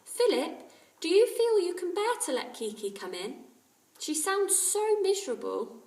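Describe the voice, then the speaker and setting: A woman's voice: extremely high pitch, big pitch swings, measured pace, darkish tone, voice pushed up, exaggerated voice. A woman voicing a kind, chatty boy who is fond of his pet, in a storybook reading. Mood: pitying. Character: kind boy recovering from flu, fond of parrot. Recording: roomy, distant microphone.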